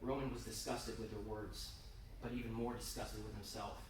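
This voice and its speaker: A man gives a dramatic live reading of a story. He sounds intense and theatrical, leaning on pauses for emphasis. The recording is distant and echoing, with some noise around him. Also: lowish voice, flat delivery. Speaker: author reading own fiction. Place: indoor convention reading room. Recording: roomy, distant, noisy live event recording.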